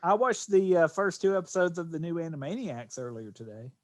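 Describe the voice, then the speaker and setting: High-pitched, rambling American man. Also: animated, a little fast, dry recording. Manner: rambling, repeats and restarts phrases. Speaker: podcast host. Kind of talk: casual chat.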